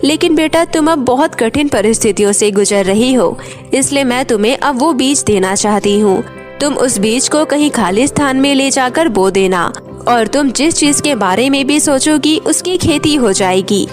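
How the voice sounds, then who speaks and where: A woman voicing a calm, gentle mother's spirit over music. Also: sits high, moderate pace. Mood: soothing, solemn. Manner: calm, reassuring. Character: gentle dead mother's spirit speaking from a photograph. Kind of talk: Hindi cartoon moral story.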